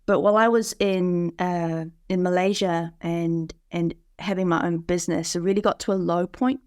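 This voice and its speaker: A podcast guest from New Zealand, a woman with a fairly low voice, tells her story with lively energy and frequent restarts. The sound is dry and close, as on a video call. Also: moderate pace, clean tone, no room sound. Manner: animated storytelling with many fillers and restarts. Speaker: podcast guest, parish leader. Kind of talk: casual conversation over video call.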